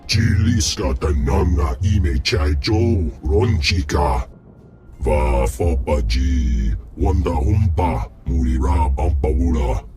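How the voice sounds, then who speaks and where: A man voicing a huge, haughty alien slug crime lord in a video game, ponderous and booming. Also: lively intonation, slow, music in the background. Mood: haughty, dismissive. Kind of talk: video game dialogue in an invented alien tongue.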